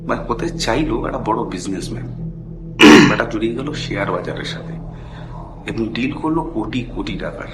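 A middle-aged man tells a personal story in a conversational, halting way, mixing in English phrases. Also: on the low side, animated. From India. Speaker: caller telling a personal ghost story. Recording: background music under the voice. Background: loud music.

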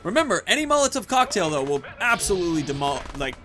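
A man's voice: high-pitched, big pitch swings, fast talker, over music. A man in his thirties giving casual commentary as the host of a gaming video.

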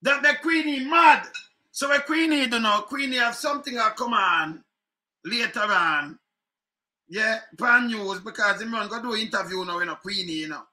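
A middle-aged man with a Jamaican accent giving casual, animated political commentary to his webcam from home, repeating his points. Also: high-pitched, moderate pace. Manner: animated, chuckling, repeats phrases, gestures a lot. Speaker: online commentary host. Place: home room, indoors. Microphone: webcam at desk.

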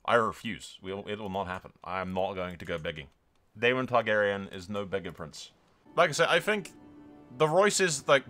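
A man's voice: on the low side, bright, forceful tone. A man giving casual, sweary let's play commentary, telling the story in mock-grand announcer phrases and repeating himself for emphasis. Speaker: gaming video creator.

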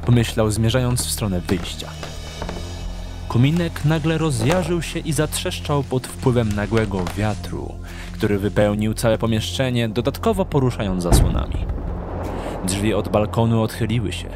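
A man narrating a scripted audio drama with measured storytelling delivery. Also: fairly low pitch, animated, over music.

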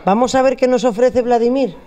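A woman's voice: fairly high pitch, a little fast, dark tone. A middle-aged woman, hosting a food show in a bar, chatty and joking, speaking into a handheld mic. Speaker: host. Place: bar with wine shelves, indoors.

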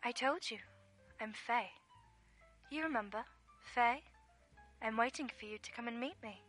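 Actress as plaintive, insistent ghostly woman, radio drama, bright tense tone, music underneath. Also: fairly high pitch, normal speed. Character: eerie, insistent young woman calling from a station. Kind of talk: radio play acting.

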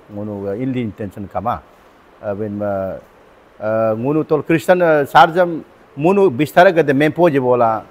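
Arunachali guy, galo speaker, local official making a statement, halting, mixes languages, medium pitch. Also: darkish tone. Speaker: local council member. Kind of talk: press statement. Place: open-sided covered community hall. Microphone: lapel microphone.

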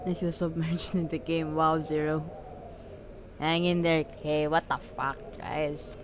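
A woman's voice: sits low, little pitch movement, slow, background noise. A woman doing casual let's-play commentary, sweary and exclamatory, talking half to herself as she plays. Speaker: gaming video creator. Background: video game ambience sounds.

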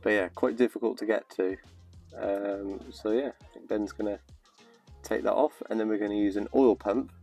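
A man's voice: lowish voice, dark tone. A man explaining a car repair step by step from under the car, hesitant and casual. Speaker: vlogger narrating car repair. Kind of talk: casual how-to commentary.

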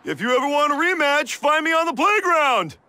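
High, lively schoolboy rapper. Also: male voice, a little fast, no room sound, exaggerated voice. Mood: friendly, casual. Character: upbeat, earnest young schoolboy rapper. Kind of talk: animated game cutscene rap. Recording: hip hop music under the voice.

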